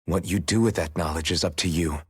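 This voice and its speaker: A man voicing a steady, thoughtful young warrior in a video game cutscene, frank and questioning. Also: low voice, fairly quick, husky, no room sound, clean studio sound. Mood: earnest, reassuring. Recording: music behind the voice.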